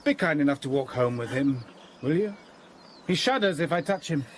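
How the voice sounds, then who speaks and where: Actor as menacing, volatile master, high-pitched. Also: male voice, lively intonation, normal speed, slight background noise, natural voice. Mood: sardonic. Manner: mocking mock courtesy breaking into barked orders. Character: menacing, sardonic master of a moorland house, violent temper. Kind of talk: period radio drama acting.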